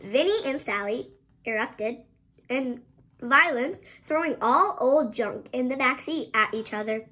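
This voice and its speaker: A young girl reading a story aloud with dramatic, drawn-out emphasis, sometimes stumbling over words. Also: sits high, animated, bright, forceful tone, poor audio. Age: child, around 8 to 10. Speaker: child presenter reading a picture book aloud. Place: bedroom, indoors.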